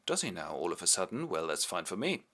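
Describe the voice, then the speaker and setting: A proud young count speaks with easy indignation, as a man puts him on. His voice is lively and bright, from a comic storybook play. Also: low-pitched, fast talker, pitched below the performer's own voice, exaggerated voice. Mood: indignant.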